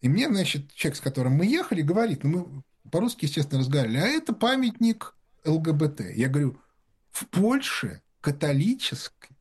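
This is a man with a fairly high, husky voice that rises and falls a great deal. He tells animated anecdotes in a casual conversation. His delivery is loose and conversational, the voice of a guest sharing a story. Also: quick pace.

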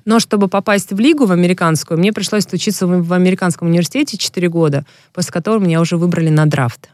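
A woman's voice: fairly low pitch, rapid-fire, a little mellow, no room sound, studio quality. A woman, a former professional basketball player, speaking as an interview guest and telling long, frank stories about her career. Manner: frank and reflective, storytelling at length. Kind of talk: casual interview talk.

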